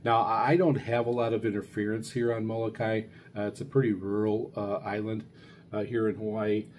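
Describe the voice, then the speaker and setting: Low-voiced man, halting, over background noise. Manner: halting, rambling, hesitant delivery. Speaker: radio hobbyist reviewer, show host. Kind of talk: casual unscripted product review. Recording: clear steady background noise.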